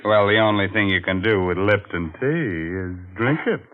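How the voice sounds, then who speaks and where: An actor playing a ghoulish, wisecracking horror show host on 1940s radio, with a sardonic, macabre manner. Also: male voice, fairly low pitch, normal speed, slight background noise, lightly acted. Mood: gleefully macabre. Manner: sardonic, macabre, chuckling, drawn out. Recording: tinny band-limited 1940s radio recording.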